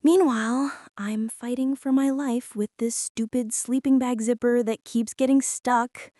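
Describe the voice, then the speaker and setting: A woman's voice: fairly high pitch, steady tone, measured pace, mellow, no room sound, lightly acted. A young woman playing a prickly, defensive teenage school bully in an intimate girlfriend ASMR roleplay, sulky and sarcastic, close to the mic. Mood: exasperated. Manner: sulky, sarcastic, huffy, with sighs and whispers.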